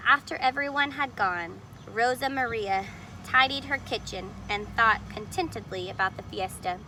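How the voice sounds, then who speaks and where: A woman's voice: a little high, normal speed, sharp tone, slight background noise, low-quality recording. A woman reading a picture book aloud expressively, stopping to explain the illustrations simply, as if to young children. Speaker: storybook reader.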